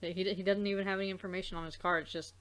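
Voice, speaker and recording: A woman with a mid-pitched voice hosts a casual let's play. She rambles in a loose, halting way, often breaking off and circling back to pick up her thought. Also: little pitch movement. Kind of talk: casual gaming chat.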